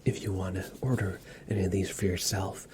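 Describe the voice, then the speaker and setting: A man whispering softly and soothingly as a reassuring doctor in an ASMR exam roleplay. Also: sits low, steady tone, a little fast, background noise. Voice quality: whispered, breathy soft voice. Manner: gentle, soothing, reassuring instructions. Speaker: ASMR performer playing a doctor.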